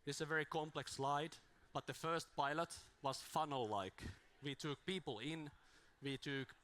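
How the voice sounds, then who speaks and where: A man's voice: fairly high pitch, moderate pace, a bit bright. A man with as his giving an informal, self-deprecating conference talk on a handheld mic in a small room, his voice strained from talking too much. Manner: self-deprecating, rambling with restarts. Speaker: conference speaker.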